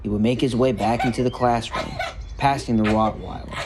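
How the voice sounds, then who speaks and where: A man reading a scripted story narration in a measured, earnest way. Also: mid-range voice, steady tone, very noisy. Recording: music bed under the narration. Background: background music.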